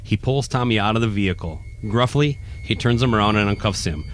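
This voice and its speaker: A man's voice: fairly low pitch, a little fast, slight background noise. A man reading scripted narration for a horror radio play. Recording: faint music behind the voice.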